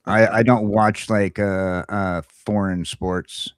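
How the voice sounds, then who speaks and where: An American man co-hosting a casual morning podcast, talking in a rambling, opinionated, halting way. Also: on the low side, flat delivery, clean tone, dry recording, clean studio sound. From United States. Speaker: talk show co-host.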